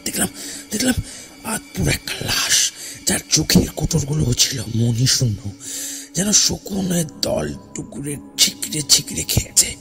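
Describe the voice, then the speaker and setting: A man narrating a first-person horror story in a theatrical, suspenseful way, with dramatic pauses and hushed phrase endings. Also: a little high, expressive, creaky. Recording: voice over background music. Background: music.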